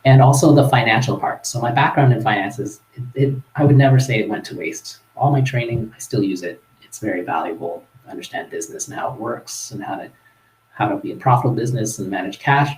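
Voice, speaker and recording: Canadian male guest, relaxed storyteller with a chuckle, echoey room. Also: lowish voice, fast talker. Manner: relaxed, chuckling, with frequent fillers and restarts. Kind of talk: casual storytelling in a live-streamed interview.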